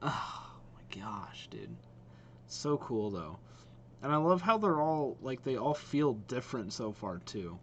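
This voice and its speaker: A man's voice: medium pitch, very animated, unhurried, a little hiss or hum. A young man hosting a reaction video, musing aloud in casual, informal commentary and often repeating his exclamations.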